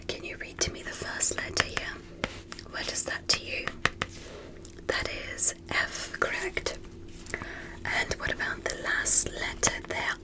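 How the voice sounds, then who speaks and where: A woman whispering in an ASMR medical roleplay as a curious, polite alien doctor, speaking gently and deliberately with soft amazement. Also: rough recording. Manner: gentle, deliberate, repetitive, softly amazed at small things. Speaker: ASMR performer playing an examiner.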